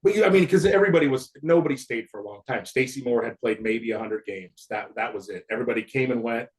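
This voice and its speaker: A man's voice: mid-range voice, fast talker. A man in his fifties, a former football player, casually reminiscing with old teammates in a rambling way, often laughing. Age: 50s.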